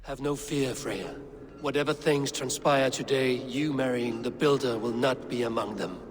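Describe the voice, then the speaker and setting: An actor playing a cunning, commanding Norse high god in a video game, grave, terse and formal in manner. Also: male voice, moderate pace, natural voice. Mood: measured. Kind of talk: video game cutscene acting. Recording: long hall reverb, music behind.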